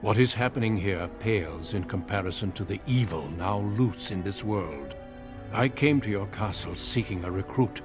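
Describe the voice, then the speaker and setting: An actor playing a courteous, grave warrior recruiter in a video game, speaking in a measured, formal way. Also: male voice, fairly low pitch, over music, lightly acted. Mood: solemn, firm. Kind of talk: dramatic video game acting.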